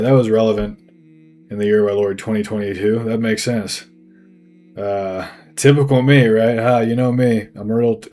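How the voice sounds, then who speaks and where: A man's voice: lowish voice, a little mellow, natural voice. Guy doing a sweary dead fish for a cartoon, throaty n strained, rambles a lot, music in back. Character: crass, rambling recently deceased fish, foul-mouthed and sarcastic. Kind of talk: loose improvised comic character acting. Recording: music under the voice.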